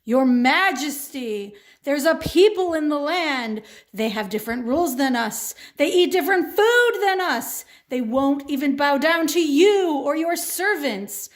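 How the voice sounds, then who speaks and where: A woman's voice: sits high, animated, measured pace, hardly any pauses, voice pushed up. A woman voicing a boastful, vengeful male royal advisor in a children's puppet show, pompous and commanding. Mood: scheming, indignant.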